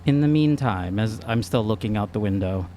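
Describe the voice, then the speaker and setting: A man's voice: lowish voice, lively intonation, moderate pace, mellow. A man playing a tabletop role-playing game, talking and asking questions casually in character. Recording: faint hum, slight background noise.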